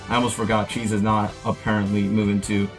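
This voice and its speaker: A man's voice: fairly low pitch, little pitch movement, quick pace, over music. A man hosting a reaction video, talking casually and enthusiastically as he comments.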